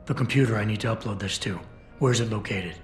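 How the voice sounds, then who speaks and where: Man voicing a low, stoic cyborg operative. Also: fast talker, natural voice. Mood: terse, focused. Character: stoic, determined male cyborg security operative on a rescue mission. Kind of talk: video game cutscene dialogue. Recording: roomy game cutscene with music. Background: background music.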